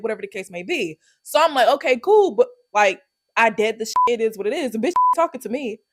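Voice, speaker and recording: A young woman with telling a storytime vlog, brash and profane, loudly acting out the quoted lines. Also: high voice. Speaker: YouTuber.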